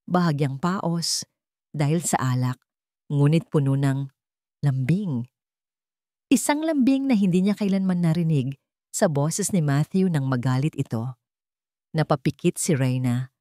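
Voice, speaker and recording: A woman's voice: low-pitched, dark tone, no room sound, studio quality. A woman with a Filipino accent narrating a scripted dramatic story.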